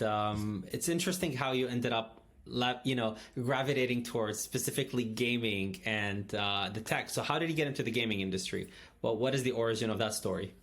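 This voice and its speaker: A man's voice: mid-range voice, a bit bright. A man hosting a podcast, asking questions in an enthusiastic way. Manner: enthusiastic, repeats praise. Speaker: podcast host. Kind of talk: interviewing.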